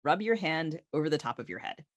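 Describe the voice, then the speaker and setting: A woman's voice: deep voice, sing-song, a little fast, no room sound. An American woman co-hosting a podcast in casual, opinionated banter with a wry, informal manner. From United States. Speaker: podcast co-host.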